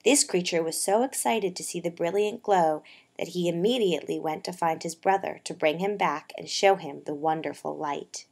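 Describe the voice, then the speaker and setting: A woman with a slightly low voice narrates a poetic story for a puppet show. Her delivery is measured and deliberate, with phrases that soften as they close.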